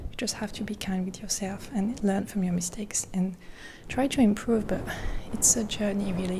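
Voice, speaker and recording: A woman speaking in a gentle, half-whispered ASMR roleplay, rambling softly and reassuringly with pauses, close to the mic. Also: medium pitch, background noise. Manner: caring, reassuring. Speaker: ASMR creator. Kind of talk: soft-spoken ASMR roleplay.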